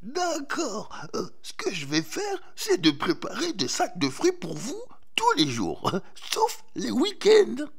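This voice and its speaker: Man as old farmer, storybook character, grumbling, kindly, very swooping pitch, unhurried, muttered asides. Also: wildly swooping pitch, vocal fry, husky, heavily acted. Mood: gruff, kindly. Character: grumbling but kindly old male orchard owner.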